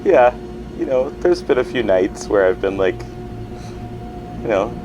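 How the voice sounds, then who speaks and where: A man's voice: medium pitch, expressive, a little slow, lightly acted, poor audio. A man playing an anxious, forgetful accident survivor in a naturalistic podcast drama, talking in a hesitant, rambling, self-conscious way. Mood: uneasy. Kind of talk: naturalistic fiction podcast dialogue. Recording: loud music behind the voice.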